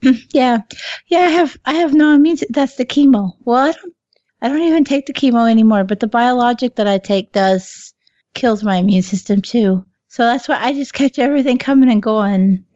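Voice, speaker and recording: Brief: woman podcaster, hoarse high voice, dry close sound, quick laughs. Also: dark tone, no echo, dull audio. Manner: laughs often, swears freely. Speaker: podcast co-host. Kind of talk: casual chat.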